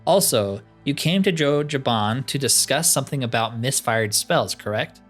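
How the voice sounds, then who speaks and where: A man's voice: mid-range voice, expressive, moderate pace, a bit bright, faint music behind. A man reading the part of a courteous, scholarly cat-man spell trainer, speaking formally in game dialogue. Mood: pleased, eager. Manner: formal, speaks of himself in third person.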